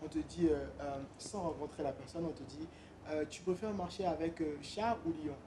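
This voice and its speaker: A man's voice: a little high, moderate pace, noisy background. A young man from Benin, a musician guest, talking casually in an interview with many hesitant fillers.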